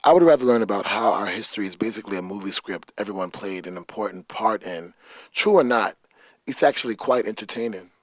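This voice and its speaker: A young man, a college student, delivering an earnest, measured reflective monologue. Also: on the low side, animated, no echo. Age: young adult.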